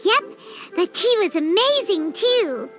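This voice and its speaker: A woman's voice: very high-pitched, very animated, takes it slowly, music in the background, full character voice. A woman voicing a small, cheerful fairy girl companion who chirps away in an anime game dub, calling herself by name. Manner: refers to self by name, chirpy.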